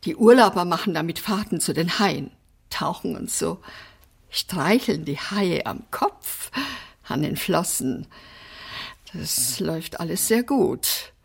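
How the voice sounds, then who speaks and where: A woman's voice: lowish voice, lively intonation, slow, gravelly, husky, full character voice. An actress playing an eccentric, chatty mother in a radio play, rambling and exclamatory. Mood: proud, rambling. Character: eccentric, chatty mother fussing over pet fish. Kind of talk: radio drama acting.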